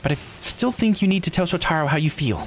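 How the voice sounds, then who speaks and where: Visual novel youngster, man performing: high-pitched, earnest and emotive, lively swooping delivery, music underneath. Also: fast talker. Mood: earnest encouragement. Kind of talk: visual novel dialogue read with expression.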